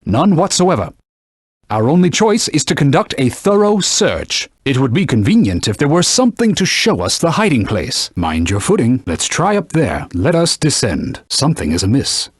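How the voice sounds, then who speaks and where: Pompous, swooping talking sword. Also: male voice, mid-range voice, big pitch swings, moderate pace, husky, no echo, clean studio sound. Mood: instructing. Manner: pompous, scolding, archaic knightly diction. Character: haughty talking magic sword mentoring a young knight. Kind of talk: video game voice acting.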